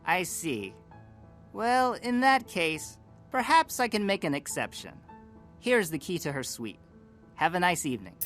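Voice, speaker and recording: A man's voice: sits high, sing-song, measured pace, fairly bright tone, voice pushed up, exaggerated voice. A man voicing a meek, polite hotel receptionist in a game role-play, prim and hesitant. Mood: cowed, obliging. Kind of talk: role-played video game dialogue. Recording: music behind the voice.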